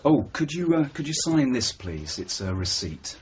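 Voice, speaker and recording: An actor in a radio drama playing a reserved, courteous police sergeant with a polite, understated and slightly hesitant manner. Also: male voice, mid-range voice, big pitch swings, moderate pace, background noise, lightly acted. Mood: polite, tentative. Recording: dull, slightly muffled 1980s radio broadcast.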